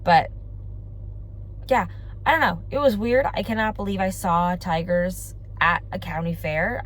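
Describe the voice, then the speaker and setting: A young woman talks to her viewers in a loose, rambling way, full of fillers. Her voice is a little low for a woman and stuffed up from congestion. A faint background hum sits under her voice. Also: low-quality recording. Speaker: family vlogger. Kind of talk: casual chat to camera.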